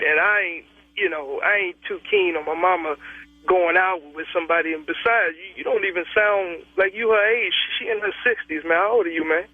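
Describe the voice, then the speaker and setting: Man in his thirties, high voice, heated and protective, stammers and repeats himself, heard over a dry tinny phone line. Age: 30s. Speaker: prank call target.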